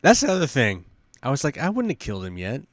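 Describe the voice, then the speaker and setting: A man's voice: medium pitch, wildly swooping pitch, fast talker, no room sound, studio quality. A man co-hosting a podcast, thinking aloud in loose, casual talk with some swearing. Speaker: podcast co-host.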